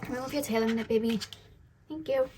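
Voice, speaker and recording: A woman's voice: a little high, fast talker, dark tone, a little hiss or hum. A woman giving a casual tarot reading to camera at home, chatty and musing aloud, repeating her questions to spirit guides. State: voice going a little deep. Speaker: tarot reader. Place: indoors at home.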